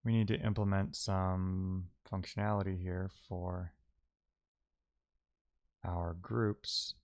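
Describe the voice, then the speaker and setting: A man with a fairly low voice talks through his programming at a very slow pace. He sounds like a casual live streamer thinking out loud, muttering to himself and letting phrases trail away. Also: level tone.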